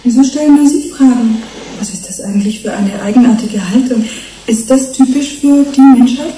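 An actress playing a calm, gently ironic human-fish hybrid in a German radio play, deliberate and questioning. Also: female voice, on the high side, natural voice. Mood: puzzled. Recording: roomy sound with faint noise.

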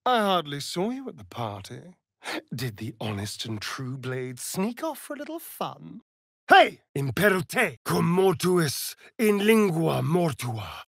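A man's voice: fairly high pitch, sing-song, unhurried, vocal fry, a bit bright, no room sound, studio quality, full character voice. A man with a British accent playing a vain, sardonic immortal vampire rogue in a fantasy video game, theatrical and arch. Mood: seductive, teasing, then casting, forceful. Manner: arch, drawling, flamboyant.

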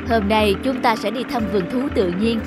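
A woman's voice: a little high, fairly even intonation, a little fast, close to an everyday voice. A woman voicing a polite, deferential male tour guide in a cartoon dub, with music underneath. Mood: welcoming. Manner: polite, formal presenting.

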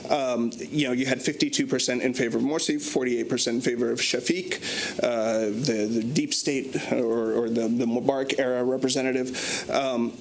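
A man's voice: mid-range voice, steady tone, normal speed, a little hiss or hum, low-quality recording. A man in his thirties speaking as a panelist at a conference. He talks thoughtfully with many restarts and fillers, recorded on a lapel mic in a conference room. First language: English. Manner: thoughtful, gestures a lot, frequent restarts and fillers. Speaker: panelist speaking on a research paper. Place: conference room in front of a banner.

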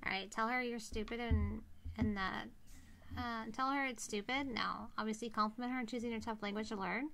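Young female game streamer, casual live commentary, noticeable vocal fry. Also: on the high side, steady tone, a little fast, fairly bright tone, slight background noise. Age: young adult. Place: indoors, seated at a streaming setup.

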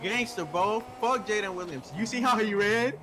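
A man's voice: sits high, moderate pace, over music, low-quality recording. A young man talking in character during an online roleplay game, boastful, slangy and full of profanity. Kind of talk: casual in-character street banter during gameplay.

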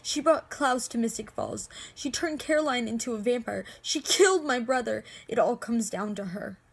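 A woman acting an anguished, lovestruck young heroine in amateur supernatural-drama monologues, with emotional, halting delivery. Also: fairly high pitch, animated, gravelly, breathy edge, exaggerated voice. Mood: bitter, accusing.

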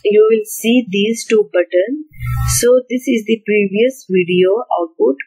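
A woman's voice: medium pitch, mellow, slightly rough, faint music behind, low-quality recording. A woman hosting a coding tutorial, explaining step by step in a plain way with many repeated "okay"s over a screen recording. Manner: slow, plain explaining with repeated "okay" and "you will see". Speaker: programming tutorial host.

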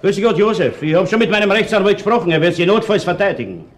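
Lively, guarded Austrian father. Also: high-pitched, fast. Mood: defiant. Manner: indignant, guarded. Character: defensive, proud businessman and protective father. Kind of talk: radio play acting.

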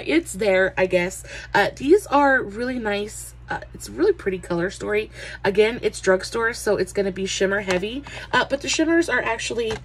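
A woman's voice: mid-range voice, a little hiss or hum. A young adult woman chatting through a makeup palette review, a bit nasal and stuffy from allergies, with lots of filler words. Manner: chatty, many "uh" fillers, friendly greetings. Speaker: beauty vlogger.

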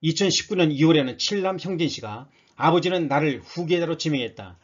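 Higher-pitched man reading scripted news. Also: animated, a little fast, muffled recording. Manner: formal, read-out announcer delivery.

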